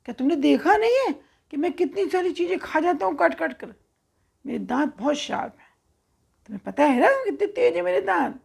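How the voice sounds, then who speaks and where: A woman voicing a timid yet boastful little male mouse in a children's story, protesting and bragging excitedly. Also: high voice, brisk, creaky, pitched above the performer's own voice, full character voice. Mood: indignant boasting.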